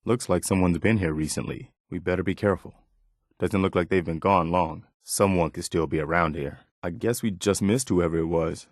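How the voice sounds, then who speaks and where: An actor playing an earnest, wary militia man in a post-apocalyptic video game, speaking in a measured, conversational way. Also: low voice, no echo, clean studio sound. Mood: watchful, conversational. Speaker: video game companion character. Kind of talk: game voice acting, short spoken reactions.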